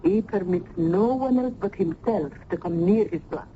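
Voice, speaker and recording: A woman's voice: on the low side, moderate pace, close to an everyday voice. An actress playing an effusive, motherly old Italian nurse turned landlady in a radio drama, with an Italian accent. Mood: confiding. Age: older. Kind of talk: 1970s radio drama acting. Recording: muffled, noisy old radio broadcast.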